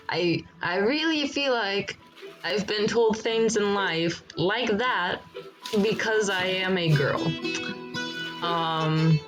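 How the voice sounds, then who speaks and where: A woman streaming a game in a relaxed, rambling chat, with a froggy morning voice and frequent laughter. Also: mid-range voice, takes it slowly, poor audio. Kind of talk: casual live-stream chat while gaming. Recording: loud background music over the voice.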